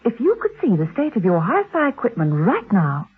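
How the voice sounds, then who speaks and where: A woman's voice: a little high, huge pitch swings, husky, exaggerated voice. An actress playing a cool, wry female secret agent who muses aloud in a classic radio drama. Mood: wry, musing. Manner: unhurried, wry, musing aloud. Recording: thin, narrow vintage radio recording.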